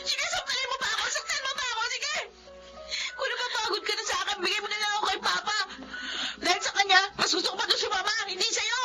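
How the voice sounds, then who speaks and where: A woman's voice: very high voice, fairly even intonation, fast talker, poor audio. An actress playing a rebellious, hurt teenage girl in an emotional Filipino radio drama. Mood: defiant, tearful. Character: rebellious, hurt teenage daughter narrating her life story. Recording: loud music bed under the voice.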